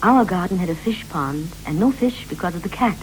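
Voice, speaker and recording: An actress playing a wistful wife who recalls her girlhood in measured, echoing short phrases, in the style of a poetic 1960s radio play. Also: lowish voice, expressive, lightly acted. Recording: vintage 1960s radio recording with hum and hiss.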